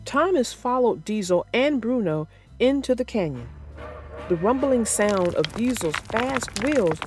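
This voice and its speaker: A woman narrating a children's storybook aloud in an expressive way. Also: on the high side, unhurried, breathy edge, soft background music.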